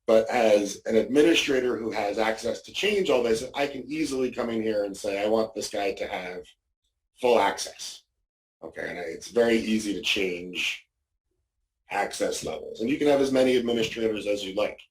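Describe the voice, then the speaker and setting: A man with a fairly low voice walks through a software demo in an informal, explanatory way. He often checks in with a short "okay" between steps. The sound is quite roomy and echoey, picked up from some distance off the microphone. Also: moderate pace. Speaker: product demonstrator.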